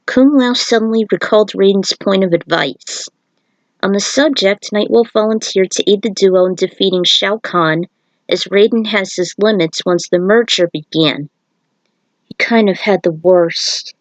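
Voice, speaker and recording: A woman's voice: mid-range voice, unhurried, no room sound, low-quality recording. Woman casually reading a story, dry sound. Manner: informal, breaks off for offhand commentary. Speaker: fan fiction reader and author. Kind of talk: casual read-aloud narration with asides.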